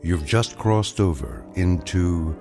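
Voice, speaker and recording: Deep, portentous male narrator. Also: animated, loud music behind the voice. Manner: measured, portentous. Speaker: narrator, series host. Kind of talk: dramatic scripted anthology intro narration.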